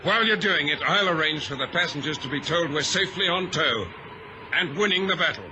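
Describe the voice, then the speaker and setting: An actor playing a steady, commanding ship's captain in an old radio drama, speaking crisply and with authority. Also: male voice, on the high side, brisk. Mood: relieved, determined. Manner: crisp, authoritative, clipped orders. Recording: hissy vintage radio recording.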